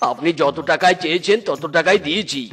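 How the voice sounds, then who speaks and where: A man's voice: on the high side, fast, a bit bright, lightly acted. A man voicing a businesslike male house buyer in a comic cartoon. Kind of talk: Bengali comic cartoon dialogue.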